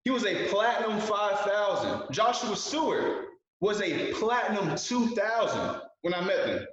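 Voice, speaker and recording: A man's voice: high-pitched, big pitch swings, unhurried, a bit bright. Man, 20s, guest speaker on a video call. Earnest motivational delivery, repeats himself for emphasis. Very echoey, dull call audio.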